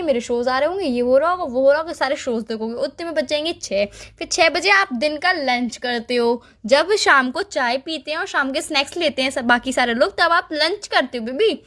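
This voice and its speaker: A woman's voice: high-pitched, fairly bright tone. A young woman. She talks casually, as a girlfriend arguing on a call, and keeps piling up complaints in a nagging manner. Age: young adult. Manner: nagging, lists complaints at length. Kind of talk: casual relationship argument in call format.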